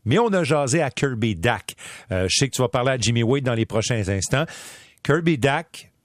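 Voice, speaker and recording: A man's voice: medium pitch, animated, normal speed, no room sound, clean studio sound. A man with a Quebec French accent reporting on hockey prospects in a casual, storytelling way. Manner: chatty, storytelling, many fillers and restarts. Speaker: sports reporter. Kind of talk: radio sports talk.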